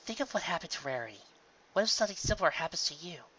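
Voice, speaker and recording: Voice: medium pitch, sing-song, rapid-fire, sharp tone, dull audio, slight background noise, voice pushed up. A reader voicing a sensible female pony who cautions a friend earnestly, in a story reading. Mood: worried, cautioning.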